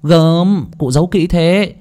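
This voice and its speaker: A man voicing a cheeky, clever young peasant lad who teases and mocks in a bright, sly way. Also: a little high, slow pace, pitched above the performer's own voice. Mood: mocking.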